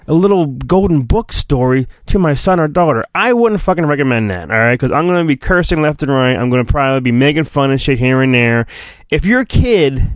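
A man's voice: medium pitch, no room sound. Middle-aged male podcast host, casual and self-mocking, mid-range voice, dry recording, faint music behind. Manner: self-deprecating, joking, profane. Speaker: podcast host reading aloud. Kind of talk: casual rambling solo podcast talk with mock dramatic storybook reading.

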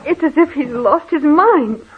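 An actress playing a frightened, anxious wife in an old radio horror drama, fretful and pleading. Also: female voice, high-pitched, expressive, measured pace. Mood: worried. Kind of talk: vintage radio horror acting. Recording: tinny, hissy old-time radio recording.

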